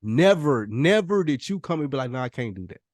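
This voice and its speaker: A man's voice: mid-range voice, very animated, fairly quick, darkish tone, no room sound, clean studio sound. An American man co-hosting a casual podcast, talking earnestly and repeating phrases for emphasis. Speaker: podcast co-host.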